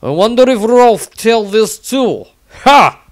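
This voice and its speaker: A man voicing a blunt, suspicious fantasy warrior who reads his diary loudly in broken grammar. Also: high voice, expressive, takes it slowly, voice pushed up. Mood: suspicious, gruff.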